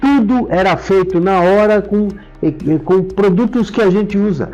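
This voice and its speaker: A man's voice: high-pitched, moderate pace, darkish tone, soft background music, poor audio. An older man with a Brazilian Portuguese accent, an interview guest telling and explaining memories in a casual way. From São Paulo, Brazil. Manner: explanatory storytelling. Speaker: interview guest, professor and author.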